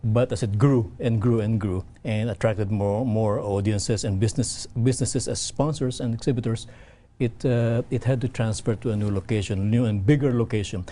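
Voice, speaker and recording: Low mellow-voiced man, halting guest. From Philippines. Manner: halting, many restarts and fillers. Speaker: event representative guest. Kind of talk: casual interview talk.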